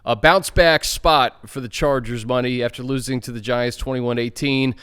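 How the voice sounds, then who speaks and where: An American man with a medium-pitched voice hosts a sports talk show. His delivery is casual and conversational, mixing game analysis with prompts to his co-host. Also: fairly even intonation, normal speed, fairly bright tone, clear, resonant tone.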